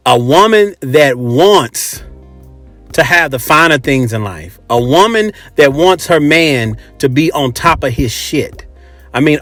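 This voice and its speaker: A man's voice: a little high, fairly bright tone, no room sound. An American man hosting a casual, opinionated monologue, speaking bluntly and emphatically with swearing and repeated phrases. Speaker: host. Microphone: close microphone.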